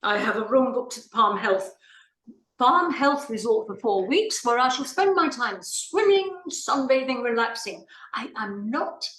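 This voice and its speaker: A woman's voice: a little high, expressive, a little slow, a bit bright. A woman playing a haughty, indignant society lady in a comic play, imperious and theatrical. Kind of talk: comic stage play reading. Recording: roomy, echoing sound.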